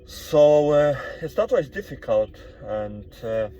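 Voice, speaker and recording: A man with a Polish accent vlogging casually from inside a car, talking in a halting way and leaning on "you know" and restarts. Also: on the high side, sing-song, dark tone. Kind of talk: casual monologue to camera. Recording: dull sound with car and road noise.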